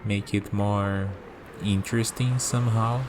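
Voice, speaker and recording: A man playing a calm, curious villain in a scripted ASMR roleplay, musing and dryly teasing as he talks. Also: lowish voice, a little slow, noisy background, lightly acted. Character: calm, curious young male villain bargaining with a death god.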